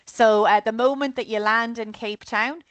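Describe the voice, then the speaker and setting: An Irish woman presenting on a video call, her voice sitting a little high. She talks in a chatty, friendly rush, tagging lines with "okay?". The sound is dry and close, with no room around it. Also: fairly even intonation, normal speed, fairly bright tone, no room sound. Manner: chatty, keeps adding "okay?". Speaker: tour operator director of sales. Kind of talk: online group presentation.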